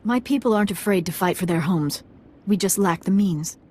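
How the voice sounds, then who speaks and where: An actress playing an earnest, resolute young village daughter in a video game, speaking politely. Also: female voice, medium pitch, a little fast, a little mellow, slightly rough, close to an everyday voice. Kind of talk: video game dialogue acting.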